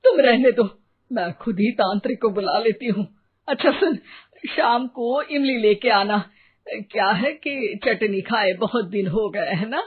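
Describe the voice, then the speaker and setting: A fretful older mother-in-law, acted by a woman, speaks high and unhurried in a cartoon tale. She is superstitious and dramatically insistent. The sound is narrow, like a phone line. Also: breathy edge. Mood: grumbling. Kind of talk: animated moral story acting.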